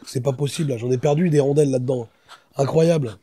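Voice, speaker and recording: A man's voice: medium pitch, fast talker, dark tone, husky. A man hosting a podcast, teasing his co-host and guest with constant jokes and laughter, speaking into a studio mic. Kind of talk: joking casual podcast chat. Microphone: studio podcast microphone.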